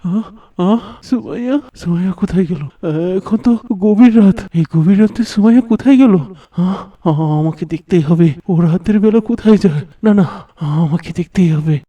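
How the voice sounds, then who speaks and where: A man voicing a tormented, suspicious young husband in a cartoon melodrama, agitated and halting. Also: high voice, warm tone, distant mic, dull audio.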